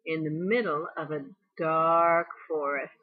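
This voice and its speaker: A woman voicing a mild, agreeable bear in a children's storybook, gently and deliberately. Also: low voice, fairly even intonation, phone-quality sound, pitched below the performer's own voice.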